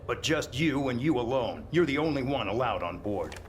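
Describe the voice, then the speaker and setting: An actor playing a guarded, reluctant military officer in a game cutscene, speaking tersely and grudgingly through loud noise. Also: male voice, medium pitch, animated, normal speed, natural voice. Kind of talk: video game cutscene dialogue.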